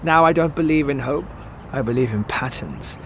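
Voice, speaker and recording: An actor playing a wistful, evasive immortal man in an audio drama, speaking with theatrical eloquence that turns halting when he is pressed. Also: medium pitch, a little hiss or hum, lightly acted. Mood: grieving, bitter.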